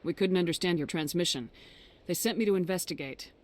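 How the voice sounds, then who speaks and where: An actor playing a direct, businesslike military commander in a space adventure video game. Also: male voice, high-pitched, brisk, lightly acted.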